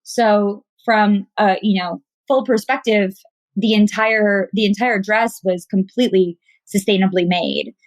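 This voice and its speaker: A woman's voice: mid-range voice, unhurried, dry recording. A woman stylist talking enthusiastically as a guest in a conversational interview.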